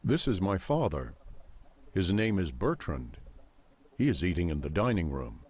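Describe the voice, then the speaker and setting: Deep american guy reading simple english sentences real slow, bouncy intonation, harp music behind. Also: big pitch swings, a little mellow. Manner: clear, deliberate enunciation of short sentences. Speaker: English lesson reader. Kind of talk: slow scripted lesson reading. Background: plucked string music.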